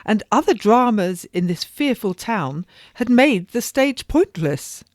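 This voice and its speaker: Fussy, dramatic genteel lady voiced by a woman reader, high and emphatic, dry close sound. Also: very animated, slow pace, dry recording. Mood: excited. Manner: rising, theatrical, emphatic outbursts.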